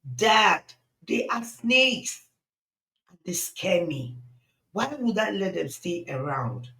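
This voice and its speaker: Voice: medium pitch, sing-song, slow, creaky, sharp tone, pitched above the performer's own voice, full character voice. A storyteller voicing a skeptical, uneasy young son who questions and protests in a dramatic story. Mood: incredulous, protesting.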